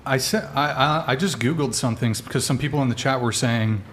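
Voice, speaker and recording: A man hosting a casual panel podcast, relaxed and hesitant, with lots of fillers. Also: mid-range voice, quick pace, a little hiss or hum. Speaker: podcast host.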